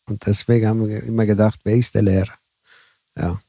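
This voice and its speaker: A man from southern Italy speaking German with an Italian accent, telling his story haltingly as an interview guest. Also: on the low side, flat delivery, gravelly, mellow, dry recording. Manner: halting, broken German with many fillers. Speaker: guest, bus driver. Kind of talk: casual interview chat.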